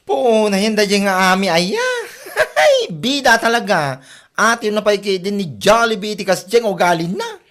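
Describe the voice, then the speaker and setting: A woman's voice: medium pitch, sing-song, moderate pace, a bit bright. A woman with an Ilocano accent acting a resentful, gossipy office worker in a radio comedy drama, mocking and exasperated. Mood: scornful.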